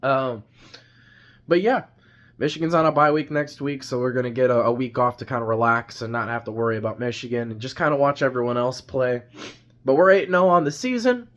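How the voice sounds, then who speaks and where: A man's voice: mid-range voice, brisk, fairly bright tone. A young man with a stuffy, congested voice gives a rambling post-game recap as a sports fan vlogger, full of fillers and restarts. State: congested, has a cold.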